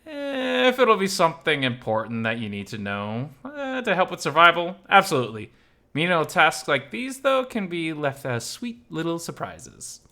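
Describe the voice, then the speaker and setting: A man's voice: fairly high pitch, huge pitch swings, moderate pace, pushed and bright, pitched above the performer's own voice, exaggerated voice. A man playing a self-assured, teasing male wilderness guide in a visual novel, explaining things in a wry way. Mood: coy, casual.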